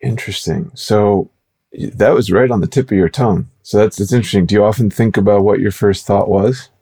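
A man co-hosting a podcast, interviewing a guest in a casual, rambling way with quick asides. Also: fairly low pitch, warm tone, husky.

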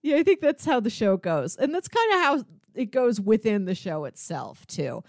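A woman's voice: on the high side, big pitch swings, moderate pace, a little mellow, dry recording, clean studio sound. A woman co-hosting a show, talking in a casual, conversational way. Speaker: podcast co-host. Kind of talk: casual chat about TV sitcoms.

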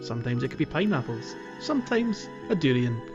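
A man's voice: mid-range voice, big pitch swings, a little fast, muffled recording, music in the background. A man chatting casually as a podcast co-host, with a jokey, teasing manner. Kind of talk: casual chat.

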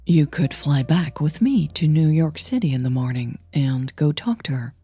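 A woman's voice: sits low, animated, normal speed, dark tone, husky, dry recording, soft background music, pitched below the performer's own voice, heavily acted. A woman voicing a casual, daring woman who coaxes others in an audio drama. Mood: casual, coaxing. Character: casual, daring woman coaxing her friend.

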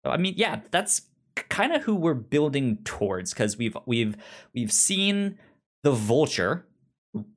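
A man's voice: mid-range voice, big pitch swings, a little slow. A man co-hosting a casual podcast discussion, stammering and repeating syllables as he works through his thoughts. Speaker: pop culture podcast co-host.

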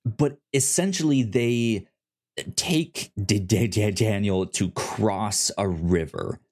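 Halting, stammering man in casual chat. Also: lowish voice, a little mellow. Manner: halting, stammers and repeats words. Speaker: podcast co-host. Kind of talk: casual chat about a comic series.

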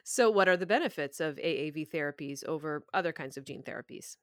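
A woman's voice: low-pitched, lively intonation, fast, dry recording, studio quality. A woman hosting a podcast, moving between scripted introduction and conversational interview questions.

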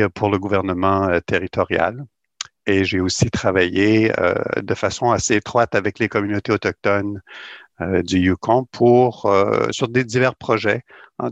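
Low gravelly man. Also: dry recording, highs cut off. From Quebec. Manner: hesitant, many "euh" fillers and repeated words. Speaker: university administrator, guest. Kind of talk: radio interview.

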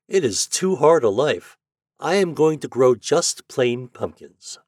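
A man's voice: mid-range voice, big pitch swings, slow, a little mellow, no echo, clean studio sound, pitched above the performer's own voice. A man voicing plain-spoken, carefree pumpkin plants in a storybook, with a breezy and emphatic manner. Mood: matter-of-fact.

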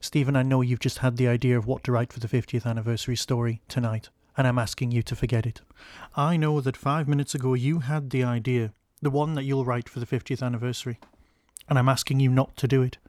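A weary alien time traveller, as a male narrator puts him on, earnest and pleading. His voice sits mid-range and close to the mic, in a dry room. It is a storytelling voice with a gentle push behind each request. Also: mid-range voice, flat delivery, fast, no room sound, natural voice.

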